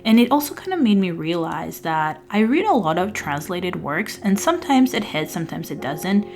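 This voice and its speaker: A woman with a fairly low voice, a native Albanian speaker, reviewing her month's books in a relaxed way. She moves between loose chat with the odd "um" and smoother read-out plot summaries, with soft music playing underneath. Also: normal speed. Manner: conversational, with fillers and restarts, partly read-out summaries. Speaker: book vlogger. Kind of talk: casual book review over journaling.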